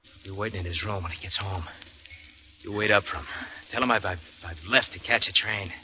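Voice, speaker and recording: A brutal, scheming son talks in a menacing, bullying way, with a hard, very bright tone. Faint hiss sits under his voice. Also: lowish voice, fairly quick. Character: brutal, scheming young male murderer son. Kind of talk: 1940s radio acting.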